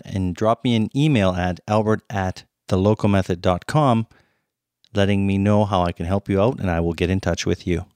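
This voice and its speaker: A man's voice: fairly low pitch, a little mellow, dry recording, clean studio sound. A man hosting a podcast, explaining topics in an upbeat, promotional way and introducing his guest. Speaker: podcast host. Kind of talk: podcast monologue and interview.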